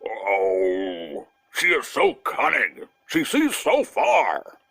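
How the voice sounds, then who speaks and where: Man putting on a bossy storybook bear, swinging wildly in pitch. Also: huge pitch swings, very slow, creaky, fairly bright tone, dry recording, soft background music, heavily acted. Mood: astonished, weary. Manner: demanding, emphatic storybook delivery. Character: huge gruff male bear, bossy and gullible.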